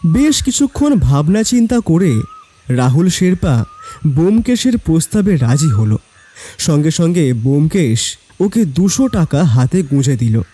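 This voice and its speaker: A man's voice: a little high, sing-song, unhurried, warm tone, slightly rough. A man narrating a scripted story in a dramatic storytelling style. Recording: background music.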